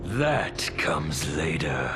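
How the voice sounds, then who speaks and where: An actor playing a gleeful villain, a theatrical cleric of chaos in a video game cutscene, with a taunting, drawn-out delivery. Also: male voice, rumbling low, sing-song, heavily acted. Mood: gloating menace. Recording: loud cinematic music behind.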